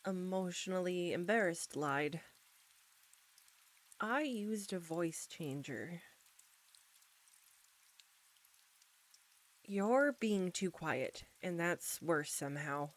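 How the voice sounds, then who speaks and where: A woman playing an embarrassed, anxious young roommate in an intimate audio roleplay, speaking softly and haltingly straight to the listener. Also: on the low side. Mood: nervous, hesitant. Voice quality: soft. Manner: halting, flustered, nervous stumbling.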